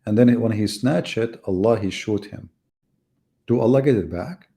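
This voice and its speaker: Low, gravelly, sarcastic man. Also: moderate pace, warm tone. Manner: sarcastic, teasing, rhetorical questions. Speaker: host of live religious debate. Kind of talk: mocking casual live commentary.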